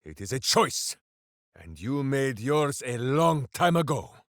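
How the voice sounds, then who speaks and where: A man's voice: mid-range voice, big pitch swings, takes it slowly, no room sound, clean studio sound. A man voicing a big-hearted, simple-minded heroic warrior in a video game, bombastic and earnest, speaking of himself in the third person. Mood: resolute. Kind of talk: video game character voice acting.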